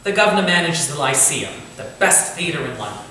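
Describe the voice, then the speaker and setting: An actor playing a genial, courtly Victorian gentleman writer with a formal, eloquent, theatrical way of talking, in a staged reading. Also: on the high side, big pitch swings, fairly quick. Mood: encouraging. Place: indoor staged reading, distant microphone. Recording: very echoey room from far off, faint noise.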